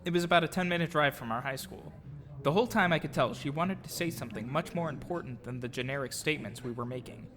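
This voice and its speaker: A man's voice: on the high side, fast, a bit bright, in a large hall, slight background noise. A man reading a scripted personal story in a reflective storytelling manner, like an audio drama narrator. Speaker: narrator and audio drama producer-actor telling own story. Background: clear music.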